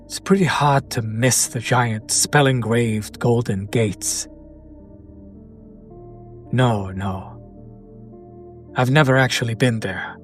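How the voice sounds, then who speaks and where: A man playing a sardonic, teasing demon in an intimate roleplay audio drama, speaking deliberately and directly to the listener. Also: mid-range voice, close to an everyday voice. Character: male demon buyer, sardonic, teasing, curious about his captive.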